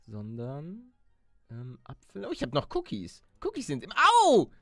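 A man hosting a Let's Play in lively casual banter, playful and excitable, often stammering and repeating words. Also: fairly high pitch, measured pace, vocal fry, faint music behind.

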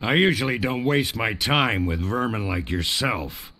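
A man voicing a gruff, crusty sword trainer in a cartoonish adventure game, barking, bragging and contemptuous. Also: lowish voice, lively intonation, measured pace, bright, forceful tone, exaggerated voice. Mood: grudging, blustery. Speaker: sword fighting coach.

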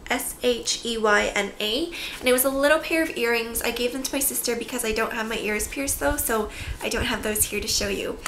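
Woman in her twenties, casual haul-video chat, bright forward tone, echoey small room, camera mic, chatty asides. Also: fairly high pitch. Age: 20s. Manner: chatty, self-interrupting asides. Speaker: beauty vlogger. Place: small bare-walled room indoors. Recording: echoey room with faint background noise.

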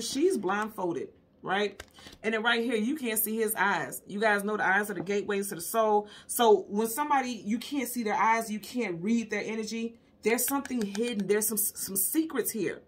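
Woman, tarot reader, husky edge, medium pitch, casual, conversational, emphatic, repeats key points. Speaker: tarot card reader and host. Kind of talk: casual, intuitive tarot reading addressed to viewers.

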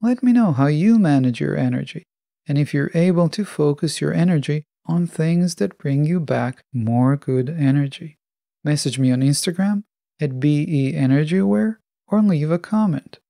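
A podcast host giving a scripted solo self-help monologue in measured, deliberate phrasing. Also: mid-range voice, gravelly, dark tone, dry recording, clean studio sound.

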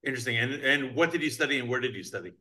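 A man in his thirties hosts an interview over a webcam, talking in a chatty way that is full of restarts and fillers. His voice is bright and forceful, at a middle pitch. He sounds like he is at a desk in an office. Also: animated, very fast. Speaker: host, crypto attorney. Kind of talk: casual video call interview. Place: bright office with large windows. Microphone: video call webcam.

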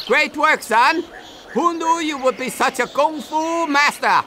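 A man voicing a fussy, fond old father who runs a noodle shop, in an animated game. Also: very high voice, a little slow, a little hiss or hum, heavily acted. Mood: proud.

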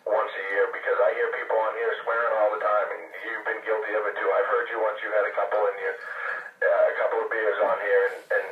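Quick-talking American man on echoey CB radio. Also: on the low side, flat delivery, narrow, tinny audio. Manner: sarcastic, dismissive, swearing. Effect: CB radio transmission. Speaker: CB radio hobbyist. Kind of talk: argumentative casual talk over CB radio. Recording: echoey, slightly noisy, distant CB radio.

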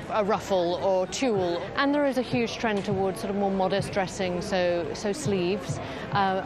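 A woman with a British accent, speaking conversationally as an interviewed fashion designer. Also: medium pitch. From Britain. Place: outdoors on a street. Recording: busy outdoor street, crowd behind.

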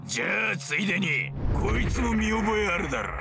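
Hostile yakuza thug, growly creaky voice, fairly high, accusing, game drama, music. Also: male voice, big pitch swings, brisk, full character voice. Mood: menacing. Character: angry, accusing male yakuza gangster, loyal underling. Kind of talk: dramatic game cutscene acting.

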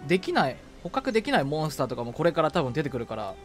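A man streaming a video game in casual co-op chat, reacting playfully and excitably and often talking to himself as he plays. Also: on the high side, racing, soft background music.

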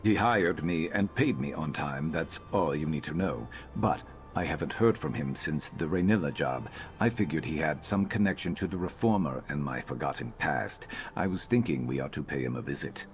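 An actor playing a guarded, brooding beast-folk mercenary in a fantasy video game, terse and deadpan with a flat, robotic delivery. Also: male voice, a bass voice, moderate pace. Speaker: game companion character. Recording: game music behind.

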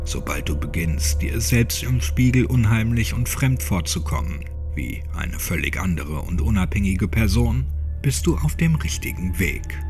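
Deep-voiced male horror narrator over music. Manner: dramatic, suspenseful delivery, dropping into whispers. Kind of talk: scripted creepypasta audiobook narration, addressing the listener. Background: music under the voice.

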